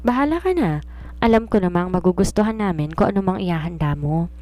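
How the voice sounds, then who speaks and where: A woman's voice: fairly low pitch, animated, fairly quick, dark tone, natural voice. A woman with a Filipino accent reading a cheerful, easygoing older male judge in a storybook. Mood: cheerful, approving. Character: genial older male judge, master of the house.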